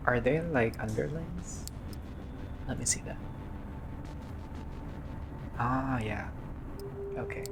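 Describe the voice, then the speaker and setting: Noisy recording of a casual gamer reading aloud. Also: mid-range voice. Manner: informal, swears, stumbles while reading. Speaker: gamer reading and reacting to a visual novel playthrough. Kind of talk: casual let's-play, reading game text aloud with asides.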